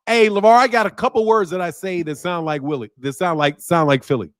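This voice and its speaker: Male streamer host, high-voiced, slangy, animated off-the-cuff boxing talk. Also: fairly quick. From Chicago area. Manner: animated, slangy, loud outbursts and laughter. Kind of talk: casual livestream talk. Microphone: streaming mic.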